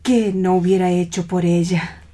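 An actor playing a melancholy, lovelorn dreamer in a radio play, speaking in a soft half-whisper with lyrical, wistful phrasing. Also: male voice, high voice, fairly even intonation. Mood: dreamy nostalgia. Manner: lyrical, wistful, drawn-out reminiscing. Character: melancholy, dreamy, lovelorn romantic man, intense and misunderstood.

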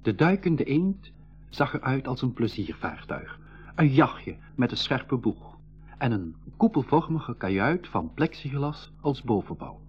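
A man playing the narrator of an adventure in an educational radio drama, with a Flemish accent and a measured, descriptive storytelling manner. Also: mid-range voice, sing-song, soft background music. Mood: descriptive, intrigued. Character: boy hero narrating his adventure afterwards. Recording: dull 1980s radio drama recording.

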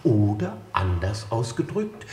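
An actor playing a hesitant, formal health minister in a satirical German radio play. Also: male voice, fairly low pitch, big pitch swings, slow pace, mellow. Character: hesitant, formal male health minister reciting medical jargon. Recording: echoey room sound.

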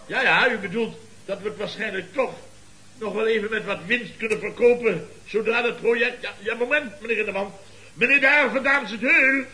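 An actor playing a blustering, boastful contractor boss in a Dutch radio comedy, with a pompous, theatrical, lecturing manner. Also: male voice, high-pitched, normal speed, bright, forceful tone, poor audio. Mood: sheepish, agitated. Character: blustering, boastful contractor boss and uncle, scheming wheeler-dealer.

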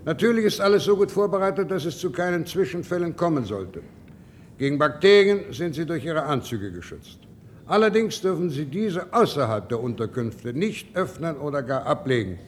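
An actor playing an authoritative expedition leader giving formal, measured instructions in a science-fiction radio drama. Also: male voice, on the high side, sing-song. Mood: official, firm. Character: formal expedition leader briefing his men. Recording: long hall reverb, faint noise.

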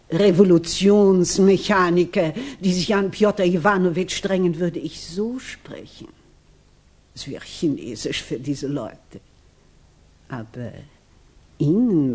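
A woman acting a grand, affected salon lady in a 1960s German radio play, imperious and halting in her theatrical delivery. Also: mid-range voice, mellow.

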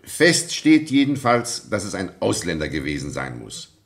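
Brusque police prefect, radio play. Lowish, highly animated, ironic, authoritative. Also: male voice, moderate pace, full character voice. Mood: impatient, businesslike. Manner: commanding, probing, dryly ironic. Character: brusque, sardonic male police prefect leading an interrogation. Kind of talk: German radio play acting.

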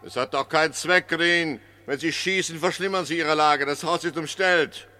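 A bright-voiced, firm police inspector. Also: male voice, on the high side, normal speed. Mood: commanding, urgent. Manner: authoritative, clipped. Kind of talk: 1960s German radio play acting.

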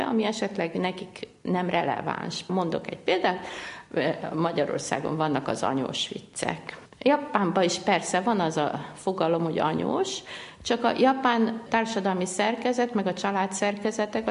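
A woman's voice: lowish voice, creaky, a little mellow. A middle-aged woman from Hungary, a linguist being interviewed on the radio, explaining at length with many hesitations and restarts. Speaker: linguist guest.

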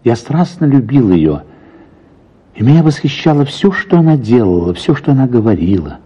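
A man reading a first-person literary story for radio in an expressive, reflective manner, with drawn-out words and pauses. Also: medium pitch, slightly rough. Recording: slightly dull radio sound, music behind. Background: soft music.

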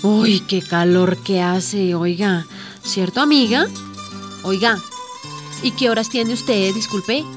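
An actress playing a brash, impatient young Colombian migrant in a radio soap opera, blunt and slangy, with a Colombian accent. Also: female voice, medium pitch, slow pace, music in the background, close to an everyday voice.

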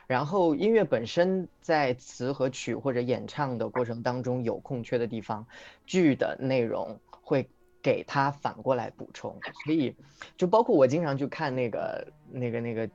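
A man's voice: medium pitch, moderate pace, vocal fry, a little mellow, no echo. A man, a singer appearing as a guest, chatting casually on a livestream and explaining things in reflective detail. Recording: dull lo-fi audio, music behind. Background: background music.

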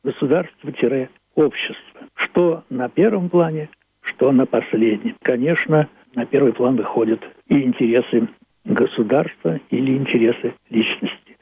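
An elderly man from Russia speaking reflectively and deliberately, in emphatic phrases with repetitions, like a philosophical monologue. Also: mid-range voice, breathy edge. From Russia, Leningrad. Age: older, 80s. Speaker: playwright. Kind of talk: reflective radio monologue about own play and history. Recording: thin phone-like band-limited sound.

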